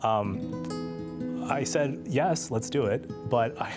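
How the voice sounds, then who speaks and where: A man telling a personal story as an interview guest, speaking in a reflective, measured way with pauses. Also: medium pitch, music in the background. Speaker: interview guest, transplant patient.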